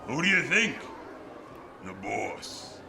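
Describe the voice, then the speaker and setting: An actor playing an irritable, tough carnival barker with gruff, slangy hardboiled talk in an old-time radio play. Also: male voice, medium pitch, very animated, slow pace, heavily acted. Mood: irritable, bitter. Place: live theatre stage before an audience. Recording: live stage recording with clear noise.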